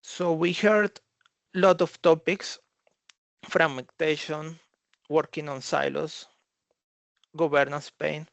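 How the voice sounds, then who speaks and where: Halting male tech presenter, gravelly voice. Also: a little high, fairly even intonation, no room sound. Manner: halting, repeats and rephrases phrases. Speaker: consultant presenting at a conference. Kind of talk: slide presentation talk.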